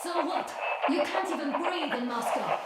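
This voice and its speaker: A woman's voice: high voice, lively intonation, moderate pace, very echoey, far from the mic, heavy background noise. An actress playing a defiant young woman survivor in a video game cutscene. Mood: hopeful. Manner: challenging, questioning.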